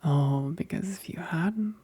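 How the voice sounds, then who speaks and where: A woman playing a hungry, seductive vampire best friend in an intimate ASMR roleplay, mostly whispering in a slow, teasing way, close to the mic. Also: sits low, mellow, husky, no room sound, full character voice. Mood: teasing, menacing. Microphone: close, dry microphone.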